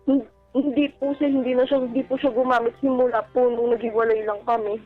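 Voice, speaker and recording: A woman with a Filipino accent giving a personal account on a radio advice show, talking casually and politely in a halting, deferential way. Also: a little high, level tone. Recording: dull band-limited radio sound, faint music. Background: background music.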